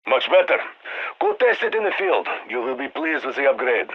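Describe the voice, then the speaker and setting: A man playing a gruff Russian squad commander in a video game, giving orders with dry, wry asides. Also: medium pitch, big pitch swings, normal speed, lo-fi sound. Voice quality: gravelly, husky. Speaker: mission commander giving radio briefings. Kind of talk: scripted video game voice lines. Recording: band-limited military radio sound.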